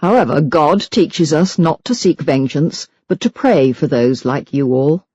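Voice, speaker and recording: A woman's voice: deep voice, lively intonation, measured pace, warm tone, slightly rough, dry recording, dull audio, heavily acted. A woman reading as a venomous, self-righteous religious hate-mail writer in an audiobook, scornful and emphatic. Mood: pious. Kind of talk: dramatised audiobook reading of letters.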